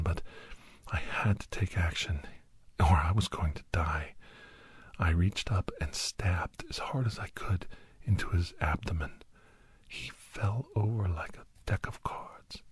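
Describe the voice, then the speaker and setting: Solemn doctor-narrator for ASMR war drama, played by a man: deep, breathy, intense, close and dry. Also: dry recording, heavily acted. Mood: grave, shaken. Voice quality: breathy, husky, often near whisper. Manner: halting, short phrases, solemn and intense. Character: doctor recounting a harrowing battle beside his young ward. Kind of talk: dramatic first-person ASMR storytelling.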